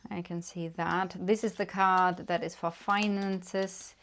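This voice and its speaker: German-accented woman, low and level. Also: flat delivery. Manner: gentle, unhurried, many fillers. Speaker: tarot reader. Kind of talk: casual tarot reading.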